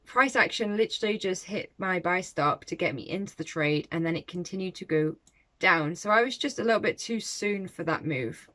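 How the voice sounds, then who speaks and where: A woman's voice: mid-range voice, a bit bright. Chatty woman recapping her trades. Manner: chatty and reflective, with small laughs. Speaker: forex trading vlogger. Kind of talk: casual trade recap to camera.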